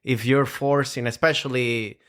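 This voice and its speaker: A man from Venezuela talks about comedy in a loose, halting way. He restarts his sentences often and leans on fillers. His voice sits at an ordinary middle pitch. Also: fairly even intonation, fairly bright tone, clean tone. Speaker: comedian guest. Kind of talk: casual chat.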